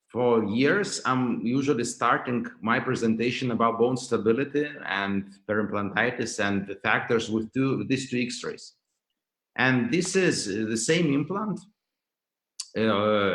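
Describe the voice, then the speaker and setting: A man from Lithuania with a Lithuanian accent, lecturing as a professor at an online conference in a halting way, repeating words and restarting phrases. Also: medium pitch, fairly bright tone. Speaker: dental professor and clinician giving a lecture. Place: indoors, remote video call. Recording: roomy, echoing video call sound.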